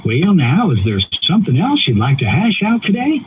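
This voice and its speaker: A male synthetic assistant voice with a Southern US drawl, slightly high and swooping all over its range. He talks in folksy, homespun phrases. The sound is thin and tinny, like a phone line. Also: wildly swooping pitch, normal speed, noisy background. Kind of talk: folksy conversational replies. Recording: tinny phone-like speaker playback.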